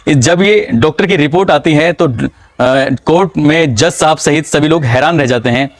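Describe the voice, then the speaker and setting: A man narrating a true-crime story in a measured, dramatic storytelling manner. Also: a little high.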